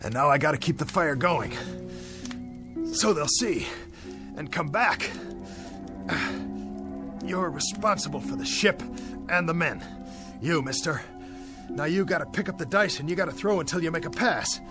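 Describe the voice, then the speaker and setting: An actor with an American accent playing a dazed, guilt-ridden young bomber captain in a radio drama, talking to himself in broken, searching phrases. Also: male voice, on the high side, lively intonation, normal speed, long pauses, over music, natural voice. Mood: urgent, self-commanding.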